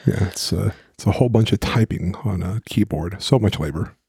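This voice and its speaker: A young man co-hosting a podcast, chatting casually with dry, teasing deadpan jokes. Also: sits low, a little fast, vocal fry, slightly rough, dry recording. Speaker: podcast co-host. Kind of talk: casual chat.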